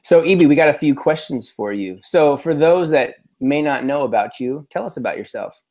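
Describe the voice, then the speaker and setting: A man's voice: fairly high pitch, animated, normal speed, a little mellow. A man with an American accent, co-hosting a casual education podcast. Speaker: podcast co-host, school administrator.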